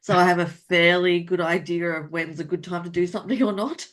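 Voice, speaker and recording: Low-voiced Australian woman on a call. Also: brisk. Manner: friendly and relaxed, explains things matter-of-factly. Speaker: author, podcast guest. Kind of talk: casual interview.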